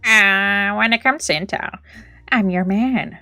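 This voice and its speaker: A woman's voice: medium pitch, unhurried, bright, forceful tone, no echo, soft background music. A woman voicing a smug, precise young man who loves bombs and intel, reading visual novel dialogue aloud. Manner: precise, polite, self-assured. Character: smug, precise young male bomb enthusiast and informant.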